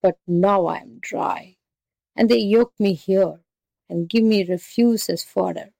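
A woman's voice: mid-range voice, slow, creaky, warm tone, no echo. An embittered old buffalo from a folk tale, read in character by a woman. The worn-out animal grumbles scornfully and resignedly, close and dry. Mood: bitter, weary.

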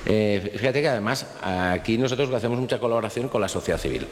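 A man with an accent from Spain, speaking in a halting, conversational way in an informal interview. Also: fairly low pitch. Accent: Spanish from Spain. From Valencia region, Spain. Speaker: guest, public cultural centre manager. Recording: reverberant hall with faint noise.

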